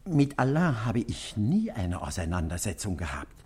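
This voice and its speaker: Husky, stammering, anxious ailing father. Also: on the low side, very animated, normal speed, a little mellow, full character voice. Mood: evasive, embarrassed. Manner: hesitant, stammering, apologetic. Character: anxious, ailing, evasive father of a grown son. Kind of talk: German radio play acting.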